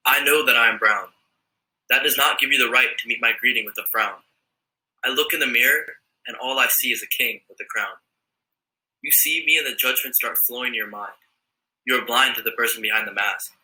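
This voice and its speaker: Steady teenage boy reciting poetry, echoey room. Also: mid-range voice, brisk. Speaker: student poet. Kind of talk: spoken word poetry.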